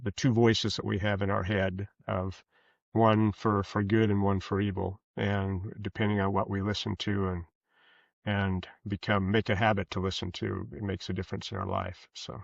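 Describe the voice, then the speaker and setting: A man with a low, gravelly voice talks as a guest in an interview. He feels his way through sentences, pausing and restarting. The sound is close and dry but slightly dull. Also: little pitch movement, dry recording. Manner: hesitant, halting, self-correcting. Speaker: guest author. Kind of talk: conversational interview answers.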